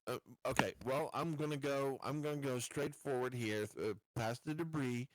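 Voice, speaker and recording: A man's voice: medium pitch, moderate pace, poor audio. A man talking casually as a player in a tabletop game, blunt and insistent about his plans.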